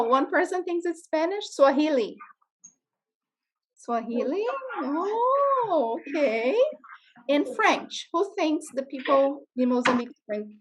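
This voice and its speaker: A woman's voice: high voice, low-quality recording. A woman from Brazil with a Brazilian Portuguese accent, speaking English as her second language and teaching a class, often checking in with "okay?". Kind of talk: interactive classroom lesson. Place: classroom with students.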